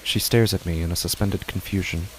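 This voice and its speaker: A man narrating a first-person horror story in a measured, tense way. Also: sits low, steady tone, a little hiss or hum, low-quality recording.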